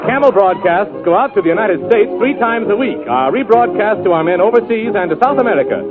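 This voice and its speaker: A man announcing sponsor messages on a 1940s radio show with a smooth, polished sales delivery. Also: high-pitched, animated, fast talker, music in the background. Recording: tinny 1940s radio broadcast.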